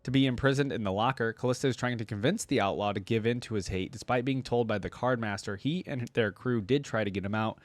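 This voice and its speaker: A man's voice: mid-range voice, fast, hardly any pauses, no echo. A man hosting a reaction channel, commenting casually and thinking aloud in an informal way, sometimes reading out text.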